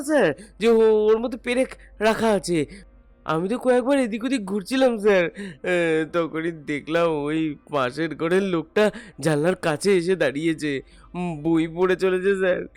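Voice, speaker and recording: A man acting a frightened, apologetic young labourer in an audio detective drama, halting, stammering and pleading with deference. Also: a little high, lightly acted.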